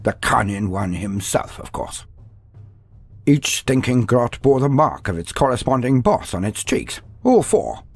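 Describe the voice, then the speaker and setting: A man reading scripted lore as a theatrical storyteller, with dramatic pauses and asides. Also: medium pitch, sing-song, husky, faint music behind. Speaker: narrator of a lore guide.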